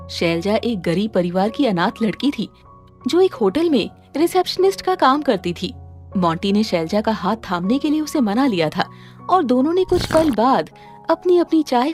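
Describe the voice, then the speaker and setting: A woman's voice: a little high, a little mellow. A woman reading a scripted moral story as its narrator, with music under her voice.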